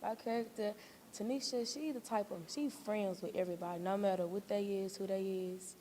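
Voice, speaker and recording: A woman's voice: mid-range voice, fairly even intonation, brisk, warm tone, slight background noise. A woman actor answering interview questions in casual, laid-back, colloquial slang. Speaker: young stage play actor being interviewed.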